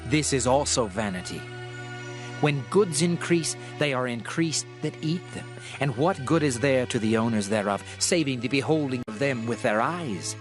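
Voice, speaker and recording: A man narrates a dramatized Bible reading with grave, measured declamation. His voice sits at an average male pitch. Music plays prominently underneath the narration. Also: animated. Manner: solemn, measured, declamatory delivery. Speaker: scripture narrator. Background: background music.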